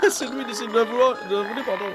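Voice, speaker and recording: A man's voice: very high-pitched, quick pace, music in the background. A man giving casual live reaction commentary as a streamer, slangy and exclamatory, often repeating himself. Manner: exclamatory, slangy, repetitive outbursts.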